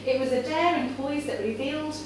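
A woman's voice: sits high, brisk. A woman reading a story aloud to a live audience in an indoor cafe bar, recorded from a far camera. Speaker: reader at a live literary event. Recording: echoey noisy room, far from microphone. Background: audience ambience and background noise.

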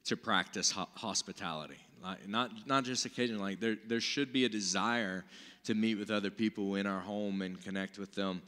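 A man's voice: on the low side, fairly quick, sharp tone. A man in his forties, a pastor giving an earnest, informal, conversational Bible teaching from a stage. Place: stage of a church auditorium with seated audience. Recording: reverberant large-hall stage sound.

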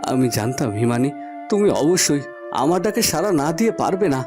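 A man's voice: on the high side, expressive, a little fast, music in the background, close to an everyday voice. A man voicing a lovesick young woodcutter, earnest and emotional, in a melodramatic cartoon fairy tale. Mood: joyful.

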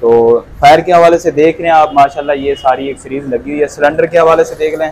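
Young shop guy explaining stuff, soft-spoken, slight shop hum behind. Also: on the high side, little pitch movement, quick pace, poor audio. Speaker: shopkeeper. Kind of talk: explaining products. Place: large hardware shop, indoors. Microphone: lapel.

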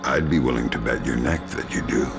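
Very deep, deadpan covert agent. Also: male voice, fairly quick. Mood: menacing interrogation. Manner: deadpan, sardonic. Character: dry, menacing veteran male covert agent. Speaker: lead character. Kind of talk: video game voice acting. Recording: loud music behind the voice.

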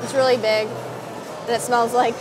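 A woman's voice: on the high side, flat delivery, measured pace, rough recording. A young woman answering questions casually in a gym. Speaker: vlogger's girlfriend. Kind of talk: casual chat. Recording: gym music playing behind. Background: music.

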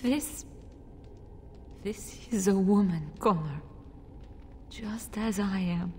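A woman's voice: medium pitch, slow pace, dark tone, close to an everyday voice. An actress playing a frightened noblewoman in a fantasy game, a mother who speaks hesitantly and pleadingly. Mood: fearful. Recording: reverberant stone hall, faint noise.

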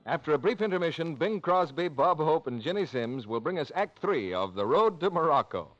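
A man working as a radio announcer, delivering scripted 1940s-style announcements and sales pitches. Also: very animated, fairly quick, muffled recording, loud music behind the voice.

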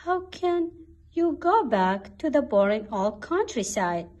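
A woman's voice: on the high side, sing-song, slow, darkish tone, exaggerated voice. A woman voicing a snobbish, bossy town mouse from a storybook, with emphatic delivery. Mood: disbelieving.

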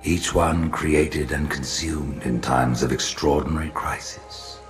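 A man playing an imperious old alien prophet, gravelly and deliberate, in a dramatic game cutscene. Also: rumbling low, steady tone, soft background music. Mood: grave, measured. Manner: grand, deliberate, authoritative.

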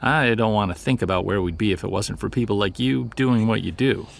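A man acting a seasoned, driven investigator in an audio drama, earnest and measured, with a gravelly, husky voice. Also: on the low side. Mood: encouraging. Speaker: voice actor. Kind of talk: naturalistic audio drama dialogue.

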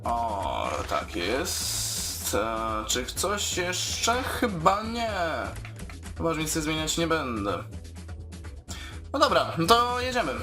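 A man commentating casually on his own video game play in an excitable, informal way, with speech. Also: fairly high pitch, slow pace, over music. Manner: excitable, exclaiming, informal with swearing. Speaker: gaming YouTuber.

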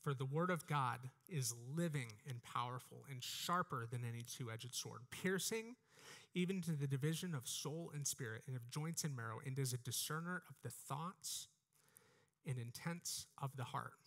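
A man's voice: medium pitch, moderate pace, a bit bright. A man in his thirties with an American accent, giving an earnest, exhorting sermon into a headset mic, often repeating phrases. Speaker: preacher. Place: church stage, indoors.

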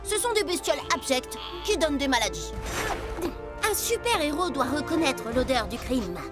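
A woman voicing a boastful, excitable little boy who plays superhero in a cartoon, grandiose and theatrical. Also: high voice, moderate pace. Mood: pompous. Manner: grandiose, self-important, theatrical. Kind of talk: animated cartoon acting. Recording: music behind the voice.

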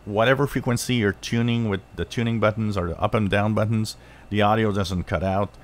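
A man hosting a hobby review, explaining things unscripted in halting short phrases, as if thinking aloud. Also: lowish voice, steady tone. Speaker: channel host reviewing radios.

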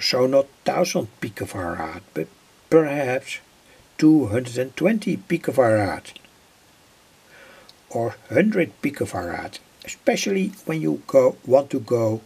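Man, husky and gravelly, halting delivery, informal technical explainer, deliberate pauses. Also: mid-range voice, a little mellow. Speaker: electronics hobbyist presenter.

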